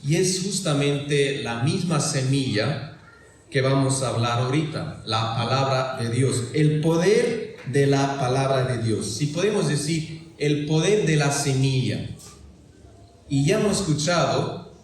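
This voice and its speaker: A man whose native language is German preaches and tells stories in a halting, deliberate way, as if working in a second language. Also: medium pitch, animated, recorded from a distance. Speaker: guest preacher at a church gathering. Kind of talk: sermon with storytelling. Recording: very echoey hall, noticeable background noise.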